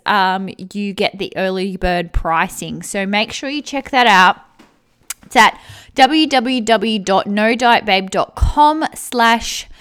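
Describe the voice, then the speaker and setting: A woman's voice: medium pitch, fairly bright tone, clear, resonant tone. Chatty woman podcast host with sore throat. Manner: chatty, upbeat, frequent ums. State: slightly sick with a sore throat. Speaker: podcast host and weight loss coach. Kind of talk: casual podcast monologue.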